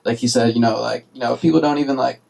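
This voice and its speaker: Fast-talking gravelly young man, radio host. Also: medium pitch, darkish tone. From United States. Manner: rambling, streetwise, lots of fillers and swearing. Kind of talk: casual radio discussion. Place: college radio studio.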